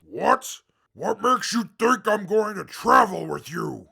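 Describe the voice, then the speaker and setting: Male actor as imperious, scornful ex-scout for radio drama: rough-edged, bright and forceful, short fuse. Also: high-pitched, expressive, takes it slowly, full character voice. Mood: indignant. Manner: haughty, scornful, formal wording. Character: arrogant, short-tempered, imperious male former wasteland scout. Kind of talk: post-apocalyptic audio drama acting.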